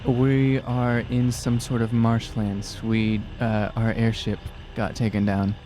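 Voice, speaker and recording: A man's voice: lowish voice, little pitch movement, takes it slowly, mellow, a little hiss or hum. A young man chatting casually and sardonically as a player in a tabletop fantasy game. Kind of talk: casual improvised game chat.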